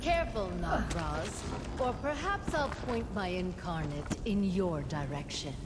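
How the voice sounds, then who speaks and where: A woman's voice: on the low side, lively intonation, measured pace. A woman playing a proud, menacing cult villain in a fantasy game, threatening and commanding. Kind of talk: fantasy video game acting. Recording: very noisy game ambience.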